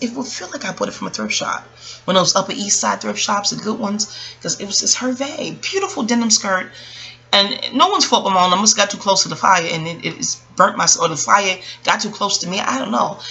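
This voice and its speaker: New woman vlogger with creaky, bright voice. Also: medium pitch. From Queens, New York. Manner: conversational, acts out reported dialogue. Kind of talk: casual storytelling to viewers.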